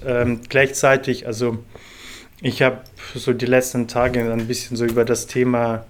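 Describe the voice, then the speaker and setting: Slow, measured man from Ukraine. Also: mid-range voice. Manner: slow, measured, many pauses and fillers. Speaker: interview guest, volunteer organizer. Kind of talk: thoughtful interview answers.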